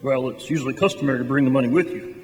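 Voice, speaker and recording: A polite, hesitant male bank clerk played by an actor talks quickly at a middling pitch. It is radio drama acting in a reverberant hall. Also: lightly acted. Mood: polite, puzzled. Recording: echoey hall with long reverb.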